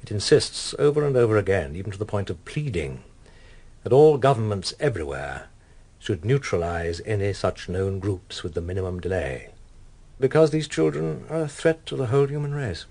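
An actor playing a grave, guarded official in a radio drama, formal and measured with halting pauses. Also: male voice, lowish voice, expressive, a little mellow. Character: grave, guarded British official delivering secret intelligence.

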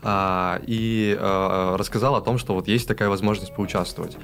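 A man's voice: fairly low pitch, steady tone, moderate pace, a bit bright. A young man answering interview questions in a studio, hesitant and with many fillers. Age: young adult. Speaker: student guest. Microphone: desk microphone.

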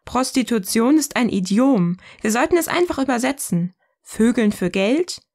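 Eine Frau spielt in einem Hörspiel eine provokante, ironische Gesprächspartnerin, die bedächtig und neckend philosophiert. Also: female voice, on the high side, animated, normal speed, darkish tone. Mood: ironic, provocative. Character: provocative, ironic woman sparring in philosophical dialogue. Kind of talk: scripted audio drama dialogue, philosophical banter.

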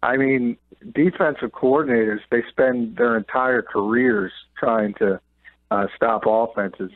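A man calls in over a thin, tinny phone line, his voice dry and close. He breaks down football news in a quick, chatty way with short laughs. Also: mid-range voice, dry recording. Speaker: NFL columnist guest. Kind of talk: sports radio analysis by phone.

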